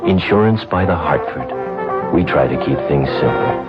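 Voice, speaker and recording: A man reading a scripted radio commercial in a calm, reassuring way. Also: very deep voice, moderate pace. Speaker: commercial announcer. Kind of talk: scripted ad voice-over. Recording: dull old radio ad with music bed.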